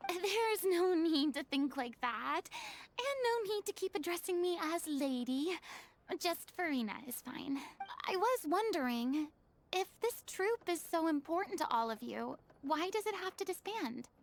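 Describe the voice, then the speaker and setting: A woman's voice: very high-pitched, animated, a little slow, full character voice. An actress playing a proud, theatrical young woman who is flustered and stammers, then turns formal, in a game. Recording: game audio with faint music.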